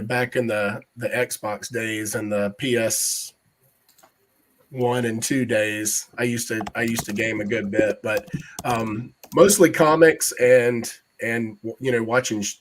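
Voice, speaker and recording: A middle-aged man guesting on a podcast, slightly hoarse, speaking in an easygoing, halting way with fillers. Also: mid-range voice. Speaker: panel guest. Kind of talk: casual chat.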